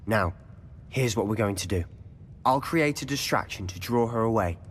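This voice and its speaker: An actor playing a cocky young wizard student with a smooth, conspiratorial, self-assured manner. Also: male voice, lowish voice, lively intonation, moderate pace, big-room sound, slight background noise, close to an everyday voice. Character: cocky teenage wizard student, scheming, confident. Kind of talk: video game cutscene acting.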